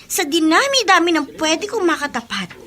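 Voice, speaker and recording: Haughty high-pitched teenage schoolgirl. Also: sing-song, normal speed, sharp tone, exaggerated voice. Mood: proud, scoffing. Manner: haughty, scoffing. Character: proud, competitive teenage schoolgirl, haughty and curt. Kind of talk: Tagalog radio drama acting.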